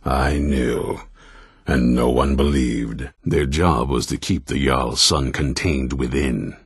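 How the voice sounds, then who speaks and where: An older man playing a grim, ruthless vampire hunter commander in a video game, stern and blunt, with a very deep, gravelly, raspy voice. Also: fairly even intonation, slow pace, no room sound, clean studio sound, exaggerated voice. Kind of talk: video game character dialogue.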